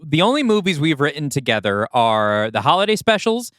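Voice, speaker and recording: A man talks as a podcast co-host in a casual, conversational way. His voice is smooth and fairly high, with lively swings in pitch. The sound is close and dry. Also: fairly quick, dry recording. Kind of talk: casual chat about writing a movie script.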